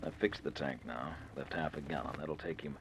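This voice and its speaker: Low-voiced scheming radio villain. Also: male voice, fairly even intonation, brisk. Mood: smug, calculating. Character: scheming, self-assured man plotting a murder with his lover. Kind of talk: old-time radio suspense acting. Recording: muffled, noisy old radio recording.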